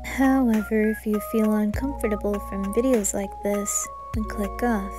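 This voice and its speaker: A woman's voice: mid-range voice, fairly even intonation, normal speed, over music. A woman, an ASMR creator, giving a friendly, upbeat introduction to her video.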